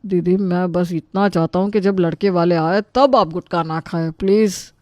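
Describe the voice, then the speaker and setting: Woman playing an anxious, polite family member, lowish voice. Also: moderate pace, darkish tone, dry recording, dull audio, close to an everyday voice. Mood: anxious pleading. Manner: polite, pleading. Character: anxious, deferential younger relative pleading with a bossy elder. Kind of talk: Hindi storybook character acting.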